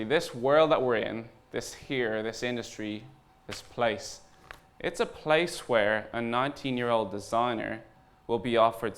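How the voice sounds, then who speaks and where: An Irish man speaking as a startup founder at a conference, informal and earnest, pausing deliberately for emphasis. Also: mid-range voice. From Ireland. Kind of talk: conference talk.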